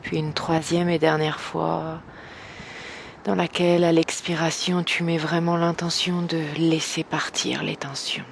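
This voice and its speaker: A woman guiding an evening meditation slowly and gently, in a soft, breathy voice that nears a whisper, with long pauses. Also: sits low, flat delivery, slight background noise. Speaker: meditation guide. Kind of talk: guided evening meditation, yoga nidra.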